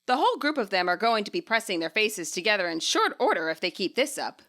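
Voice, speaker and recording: A woman reading scripted story narration as its author, in an expressive way. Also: mid-range voice, fast.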